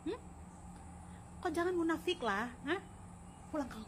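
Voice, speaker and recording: A woman's voice: high-pitched, animated, measured pace, a little hiss or hum. A woman with a Sabah Malay accent ranting angrily to camera, scolding and confrontational. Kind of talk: angry rant to camera.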